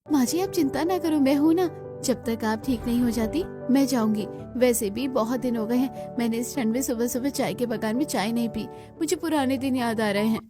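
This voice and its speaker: Woman voicing a gentle young daughter-in-law, higher pitched. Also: normal speed, over music, natural voice. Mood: caring. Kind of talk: Hindi cartoon story dialogue.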